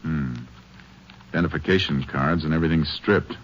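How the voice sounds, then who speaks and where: An actor playing a terse, authoritative Texas Ranger in an old radio western, plain and matter-of-fact. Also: male voice, rumbling low, moderate pace. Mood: stern, questioning. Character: stern, terse Texas Ranger lawman, also narrating. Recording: dull old radio drama recording.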